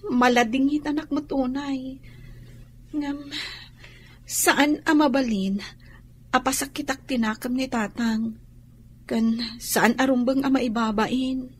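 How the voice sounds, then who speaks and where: A young woman acting in an Ilocano radio drama as a dutiful, guarded and deferential employee, with a husky voice. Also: high voice, steady tone, slow, long pauses, heavily acted. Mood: troubled.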